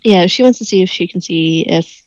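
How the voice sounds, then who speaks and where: A woman's voice: on the low side, a little fast, a little mellow, no room sound. A woman playing a tabletop game, asking curious questions about the scene, heard through a dull lo-fi mic. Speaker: player.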